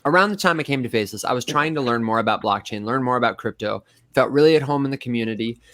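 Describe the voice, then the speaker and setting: A man with a nasal, reedy voice and a light Michigan accent, chatting casually as a co-host. His voice sits at an ordinary pitch and comes across dry and close. He tells his stories in a lively way and laughs often. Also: fast talker, no echo. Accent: Michigan, mostly neutral American. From Las Vegas, raised in Michigan. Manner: animated storyteller, laughs often. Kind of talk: casual chat.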